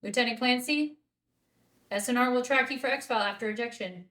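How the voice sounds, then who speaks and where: A woman playing a commanding military officer in a table read, crisp and direct. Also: a little high, fairly quick, fairly bright tone, natural voice. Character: commanding female military officer, now retired.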